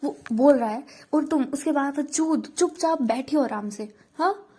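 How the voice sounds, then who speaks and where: A woman's voice: sits high, a little slow, voice pushed up. Woman doing a furious short-tempered young woman. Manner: shouting, scolding.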